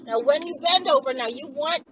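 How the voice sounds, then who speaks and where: A woman's voice: sits high, normal speed. A woman in her thirties chatting casually to camera in an emphatic way, repeating words for stress. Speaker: vlogger, channel host. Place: inside a moving car with windows down. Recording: very noisy car ride, distant mic.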